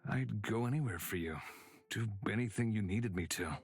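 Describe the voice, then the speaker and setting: An actor playing a loyal, battle-hardened companion in a visual novel game, plain-spoken and steady. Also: male voice, fairly low pitch, normal speed, slightly rough, faint music behind. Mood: devoted, bashful. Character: loyal, battle-hardened male companion, once hot-headed.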